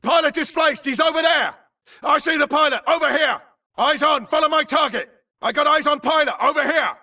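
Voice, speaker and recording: Casting: aggressive video game grunt, urgent clipped yells, harsh gravel and hard bright edge. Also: male voice, very high voice, fairly quick, far from the mic, full character voice. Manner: urgent, clipped battlefield yelling. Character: frantic, aggressive male grunt soldier in battle. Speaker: game voice actor. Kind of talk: shouted combat barks for a shooter game.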